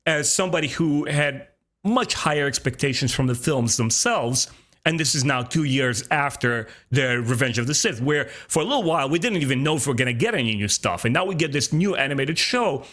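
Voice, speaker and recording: A man's voice: medium pitch, expressive, fairly quick, a bit bright. Enthusiastic male podcast host. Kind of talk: casual fan discussion.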